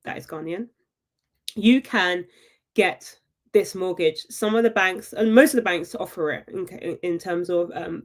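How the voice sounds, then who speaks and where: A woman hosting a live property webinar, chatty and upbeat, often checking in with her viewers with a quick "right?". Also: mid-range voice. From United Kingdom. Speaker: property investment webinar host.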